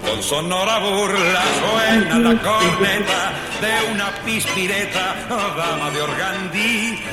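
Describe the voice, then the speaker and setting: Guy singing tango, high voice, echoey, loud music all around him. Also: slow. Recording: played song, loud music, reverberant.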